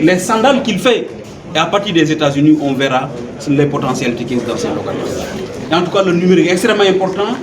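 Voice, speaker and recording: Emphatic Senegalese man in a noisy, roomy space. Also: high voice. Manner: emphatic, rhetorical, repeats phrases for weight. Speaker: director general of a local development agency. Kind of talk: formal press statement. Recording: roomy, distant mic, clearly noisy surroundings.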